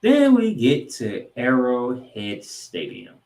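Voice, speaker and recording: A man hosting a sports podcast, giving football commentary in an emphatic way, with dramatic pauses and repeated phrases. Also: mid-range voice, wildly swooping pitch, darkish tone.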